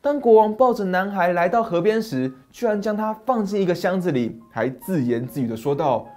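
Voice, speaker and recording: A man's voice: high voice, expressive, brisk, darkish tone, faint music behind. Dramatic middle-aged male storyteller. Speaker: storyteller host. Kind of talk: scripted fairy-tale narration. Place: small dim study set.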